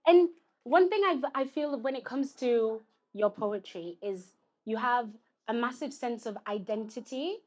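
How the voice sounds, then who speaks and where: A woman's voice: on the high side, expressive, a little slow, darkish tone. A woman hosting a live poetry interview, cheering and effusive. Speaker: host.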